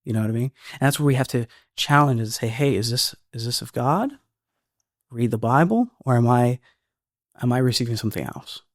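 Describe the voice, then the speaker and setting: This is a man of ordinary pitch who speaks earnestly in a relaxed, conversational way. He often stumbles and repeats words as he works out his thoughts. His voice sits close and dry, with no room sound around it. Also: brisk, no echo. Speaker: filmmaker guest. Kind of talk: casual podcast conversation.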